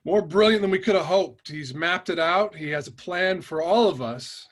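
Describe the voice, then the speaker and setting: Father figure, male, played by a man: proud, commanding, measured, lighter higher pitch, drama read. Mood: resolute. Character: proud, quietly commanding father of a grown son. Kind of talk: scripted drama table read.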